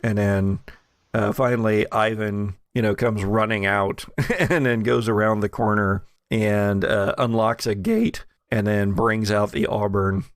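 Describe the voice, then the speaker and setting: A man narrating a tabletop game as game master, casual and jovial, with a creaky voice. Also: fairly low pitch, fairly even intonation, unhurried, no room sound, studio quality.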